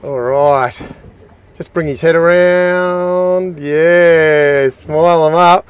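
A middle-aged man hosting a fishing show, giving excited, exclaiming commentary from a small boat. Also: fairly high pitch, extremely slow, clean tone. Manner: enthusiastic, exclaiming, talks to the fish and his mate.

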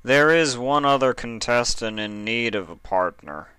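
A fussy male pony clerk from a storybook, voiced by a man. He speaks slowly and formally in a deadpan, crisp voice, every word deliberate. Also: medium pitch, no room sound, voice pushed up. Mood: businesslike.

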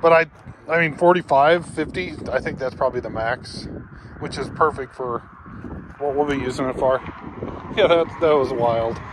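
A man's voice: medium pitch, moderate pace. A middle-aged man chatting casually and plainly to camera, in an offhand way. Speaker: vlogger. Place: parked or moving pickup cab, later outdoors on gravel drive. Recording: clear background noise, vehicle and outdoors.

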